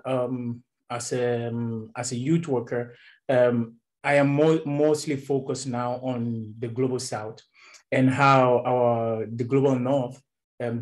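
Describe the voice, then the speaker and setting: A young man from Ghana, a youth worker, giving an earnest, halting panel answer over a video call in a small room. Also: medium pitch, darkish tone. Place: small indoor room with door.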